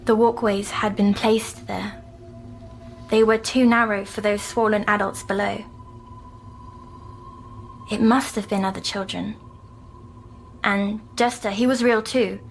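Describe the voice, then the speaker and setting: A woman playing a timid, dreamy young girl patient who recounts her nightmares in a hushed audio drama. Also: mid-range voice, flat delivery, measured pace, long pauses, a little hiss or hum, lightly acted. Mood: earnest, subdued.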